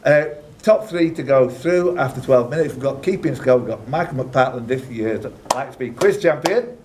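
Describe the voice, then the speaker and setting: A man's voice: medium pitch, moderate pace, darkish tone, a little hiss or hum. Man hosting a live quiz. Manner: jokey, teasing asides to contestants. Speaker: quiz host and question reader. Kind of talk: live quiz hosting, reading questions with banter.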